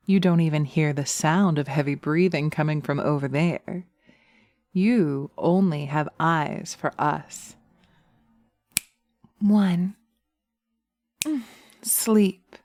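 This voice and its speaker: A sly, vengeful witch, played by a woman, coaxes her listener through a hypnosis roleplay. Her voice is unhurried and mellow with a creaky edge, often sinking toward an intimate whisper. She is recorded very close and dry. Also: mid-range voice, no echo. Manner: slow coaxing, soothing, intimate, often slipping into whispers. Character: sly, seductive, vengeful female witch casting a hypnotic spell. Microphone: close binaural mic, dry.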